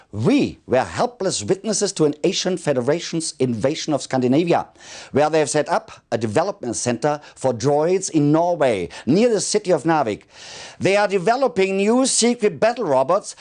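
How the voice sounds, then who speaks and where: Formal military commander with swooping intonation. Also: male voice, mid-range voice, darkish tone. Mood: formal, suspicious. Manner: formal, measured, deliberate delivery. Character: formal military commander briefing a subordinate officer. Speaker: military commander giving mission briefings. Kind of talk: scripted game cutscene briefing.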